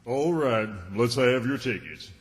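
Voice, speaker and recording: A brusque bus conductor played by a man in old-time radio comedy style. He calls out loudly at a medium pitch, with long hall reverb. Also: sing-song, measured pace.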